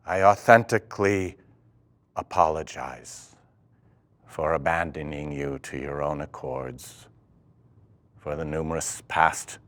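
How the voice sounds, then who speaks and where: Male, low and unhurried, playing a remorseful, solemn stage figure reciting formal verse. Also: a bit bright. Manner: deliberate, formal, dramatic. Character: grave, remorseful man reciting a poetic farewell. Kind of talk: theatrical poetry performance. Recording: faint music underneath.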